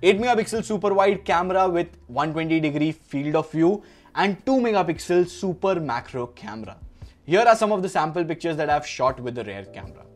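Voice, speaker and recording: A man's voice: fairly high pitch, expressive, brisk, soft background music. A young man with an Indian accent narrating an unboxing as a tech reviewer.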